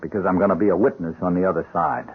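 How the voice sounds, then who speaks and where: Low, husky, uneasy policeman. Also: steady tone. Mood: reluctant. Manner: hesitant, halting, earnest. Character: uneasy, apologetic policeman, guilty loyal buddy. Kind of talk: radio drama acting. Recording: muffled, band-limited radio drama recording.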